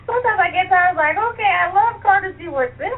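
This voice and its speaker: A woman's voice: extremely high pitch, brisk, a crowd in the background. A middle-aged American woman, a guest author chatting casually and laughing often in an interview over a phone line. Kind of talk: casual radio interview chat. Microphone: telephone. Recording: tinny phone line with faint noise.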